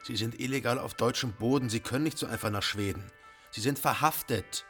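A man's voice: mid-range voice, fairly quick, a bit bright, faint music behind, lightly acted. A man playing a brusque, bureaucratic German police official in a radio play, impatient and official. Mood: curt, impatient.

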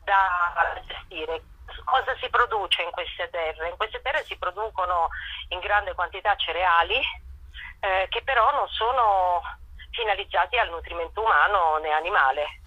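A woman with a slightly husky voice, explaining things phrase by phrase with hesitations as a radio interview guest. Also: on the low side, a little slow, pushed and bright, dry recording, highs cut off. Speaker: interview guest, stage actress. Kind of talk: spontaneous radio interview, promoting a stage show.

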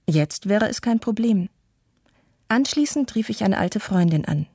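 A woman playing a shaken but resolute mother, giving measured, sober testimony in a radio play. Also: mid-range voice, lively intonation, dark tone, husky, no room sound, studio quality. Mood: sober, grave. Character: shaken but resolute Tokyo mother recalling her flight.

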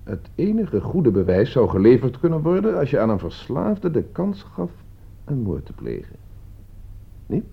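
A man's voice: medium pitch, wildly swooping pitch, moderate pace, gravelly, breathy edge, heavily acted. An actor playing a cool, calculating man in a radio play, speaking with composed, provocative deliberation. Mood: smug, cryptic. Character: cool, calculating man staging a deadly experiment. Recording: dull, muffled old radio play recording.

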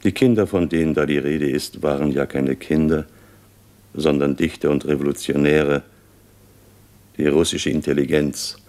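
Disillusioned thinker, extremely low voice, sombre and halting, radio drama. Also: male voice, darkish tone. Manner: thoughtful, resigned, halting. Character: sombre, disillusioned man quietly reflecting on Russia's fate. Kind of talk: German radio play dialogue.

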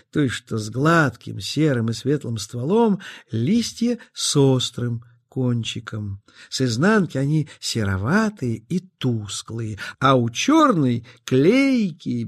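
A man narrating a scripted children's story in a gentle, expressive storyteller's manner. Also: medium pitch, husky.

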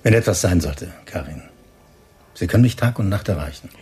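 Male hospital boss, deep register, steady, caring, paternal, natural radio play delivery. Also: husky, exaggerated voice. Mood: concerned, reassuring. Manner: measured, solicitous. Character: calm, fatherly, concerned male hospital chief. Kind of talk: naturalistic German radio drama acting.

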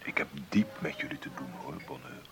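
Low, gravelly, sardonic rich businessman. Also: lively intonation, noisy background, full character voice. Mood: bitter, scornful. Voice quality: gravelly, creaky. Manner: sardonic, deliberate, condescending. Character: wealthy, bitter, sardonic self-made businessman. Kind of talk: radio play acting.

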